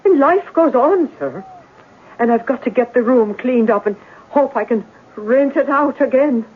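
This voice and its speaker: A woman's voice: high voice, animated, a little slow, husky, exaggerated voice. An actress playing an uneasy, evasive working-class landlady who stammers and talks in a folksy, ungrammatical way, in a 1970s radio drama. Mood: weary, defensive. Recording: muffled narrow-band old radio broadcast.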